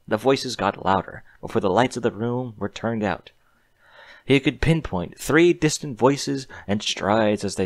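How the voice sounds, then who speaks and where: A man with a fairly low voice reads scripted fiction as narrator. His delivery is expressive, with very animated intonation. The recording is close and dry. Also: dry recording.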